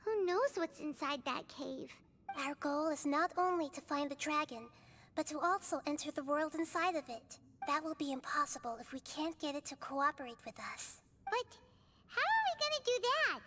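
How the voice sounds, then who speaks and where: A woman's voice: sits high, big pitch swings, moderate pace, a bit bright, a little hiss or hum. A woman voicing a tiny, chirpy, girlish companion who talks about herself in the third person, in a video game. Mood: worried.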